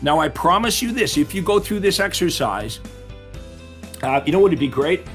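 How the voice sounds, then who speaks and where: A middle-aged man teaches real estate agents in a lively, upbeat way, slightly high for a man, and keeps checking in with his audience. His voice is going hoarse. Faint music plays underneath. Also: moderate pace. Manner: upbeat, asks the audience questions. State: losing his voice. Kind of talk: webinar-style coaching talk.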